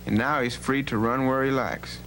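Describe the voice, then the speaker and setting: A man's voice: mid-range voice, steady tone, moderate pace, a bit bright, lightly acted, low-quality recording. A man playing a bold, plain-spoken legendary cowboy rancher in an educational radio drama, with a folksy, authoritative manner. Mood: confident, commanding. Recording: hum and faint background noise.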